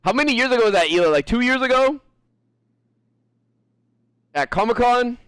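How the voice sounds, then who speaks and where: An American man rambling to his stream audience in an animated, profane way, his high voice swinging up and down. The sound is close and dry, with no room around it. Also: normal speed, clean tone, no room sound. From United States. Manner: animated, profane, laughs often. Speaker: gaming streamer. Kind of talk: casual chat while gaming.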